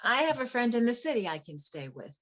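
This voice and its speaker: A woman's voice: a little high, sing-song, a little fast, a bit bright. A woman acting a betrayed, vengeful wife. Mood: distraught. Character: betrayed wife, coolly vengeful poisoner posing as client. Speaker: actor in a play workshop. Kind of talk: dramatic stage play reading.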